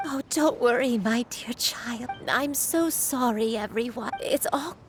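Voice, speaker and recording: A woman playing a gentle old grandmother in a video game, halting and tender, full of remorse and wistfulness. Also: on the high side, music in the background, lightly acted. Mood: remorseful. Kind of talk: dramatic video game acting.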